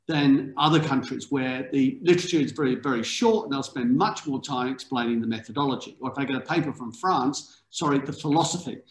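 A man, chairing an academic panel on a video call, talking in a relaxed, conversational way with asides. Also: mid-range voice. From England. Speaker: panel chair, journal editor. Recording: roomy video call audio.

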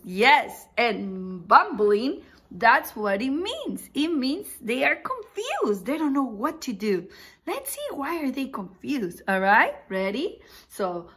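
Slow, lively, high-voiced woman teaching preschoolers. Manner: animated, exaggerated, asking questions and pausing for answers. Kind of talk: interactive read-aloud for young children. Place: small indoor room with picture books behind.

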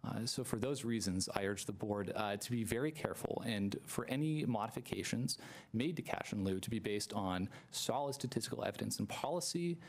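A young man giving earnest, measured public comment at a podium microphone in a council chamber, with frequent fillers. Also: fairly low pitch, lively intonation. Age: young adult.

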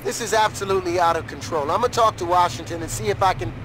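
A serious military ally, acted by a man with a somewhat high male voice. He delivers game briefings with clear noise behind him. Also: quick pace, lightly acted. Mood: exasperated. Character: serious male military friend reporting over comms. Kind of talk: video game voice acting.

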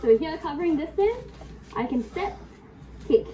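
A woman leading a kickboxing class at home, giving brisk coaching cues while sniffly from a cold, recorded on a far camera. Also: high voice, animated, dark tone, faint music behind. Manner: coaching cues, counting time, brisk commands. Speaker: fitness instructor. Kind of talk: live kickboxing class instruction. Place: home workout room. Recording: distant camera mic in a room with faint noise.

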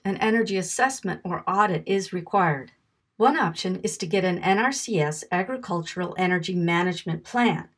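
Low-pitched woman narrating calmly. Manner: clear, measured, explanatory. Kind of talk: scripted narration.

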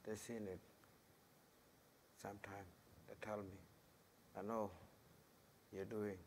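A man from northeastern Arnhem Land whose first language is Wagilak, speaking in short, halting phrases on a stage panel. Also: lowish voice, level tone, vocal fry, darkish tone, slight background noise. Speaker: ceremonial song performer on a panel. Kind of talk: panel talk. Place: concert hall stage.

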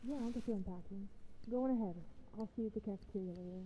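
A woman voicing a gentle, awkward young anteater girl with a halting way of talking in an ASMR roleplay. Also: mid-range voice, slight background noise, lightly acted, rough recording. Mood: relaxed, puzzled. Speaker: roleplay voice actress.

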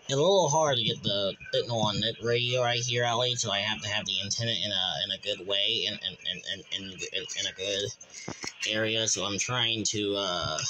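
An American man giving a casual vlog update in a halting way, often repeating words and phrases. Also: fairly low pitch. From United States. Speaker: hobbyist YouTuber. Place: small room at home, indoors. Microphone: handheld phone or camera. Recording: very noisy handheld home recording. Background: loud background noise.

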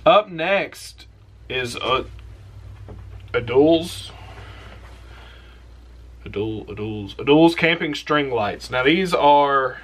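Bright-voiced young man casually recommending gear. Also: medium pitch. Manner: relaxed, rambling, enthusiastic with trailing asides. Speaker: outdoors channel host. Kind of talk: casual product recommendation vlog. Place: small indoor room.

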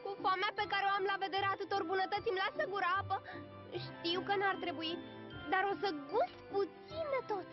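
A gentle, innocent fairy-tale princess in a cartoon. Her voice is very high, sweet and polite. Music plays behind her on a dull-sounding track. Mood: weary, curious. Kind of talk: animated fairy-tale dub in Romanian.

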